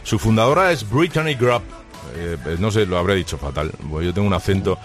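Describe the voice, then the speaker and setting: Chatty radio host. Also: male voice, lowish voice, expressive, soft background music. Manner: jokey, chatty, fast asides. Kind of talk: lively radio talk and interview. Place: radio studio.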